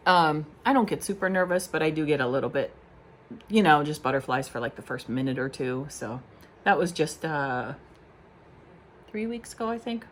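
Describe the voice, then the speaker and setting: Woman vlogger, low voice, rambling and self-deprecating, slight background hiss. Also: lively intonation, moderate pace. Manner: rambling, chatty, self-deprecating with many fillers. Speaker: beauty vlogger. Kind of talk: casual chat to camera.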